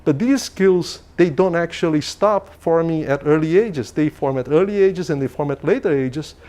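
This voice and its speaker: A man's voice: fairly high pitch, normal speed, mellow. Man lecturing. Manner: thinking aloud, frequent restarts and "okay?" checks. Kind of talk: academic lecture. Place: lecture hall.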